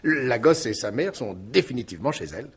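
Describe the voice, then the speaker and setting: Husky, animated radio-play investigator. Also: male voice, mid-range voice, a little fast. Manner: exclamatory, musing, brusque then wry. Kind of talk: 1950s French radio mystery acting.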